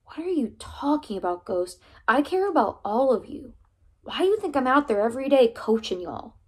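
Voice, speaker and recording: A woman voicing a firm middle-aged male track coach in an audiobook, with a flat, hard delivery. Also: medium pitch, moderate pace, natural voice. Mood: stern.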